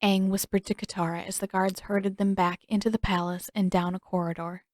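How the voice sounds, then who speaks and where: A woman narrating her own fan fiction as an audiobook, in even, steady storytelling. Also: medium pitch, a little fast, no echo, clean studio sound.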